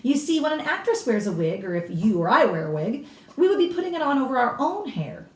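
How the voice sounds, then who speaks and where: A woman's voice: fairly high pitch, big pitch swings, brisk, darkish tone, voice pushed up. A woman voicing a blunt, authoritative elderly grandmother from a storybook, explaining with emphatic relish and a snappish edge. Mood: instructive, relishing. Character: cigar-smoking old grandmother, authoritative, blunt, relishing grisly witch lore. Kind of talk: storybook read-aloud character. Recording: roomy echo, distant microphone.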